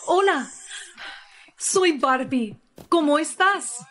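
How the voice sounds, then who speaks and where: A woman's voice: high-pitched, animated, slow pace, a bit bright, slight background noise, heavily acted. A woman voicing a perky female living doll who talks in a sweet, sing-song, eager-to-please way. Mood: chirpy.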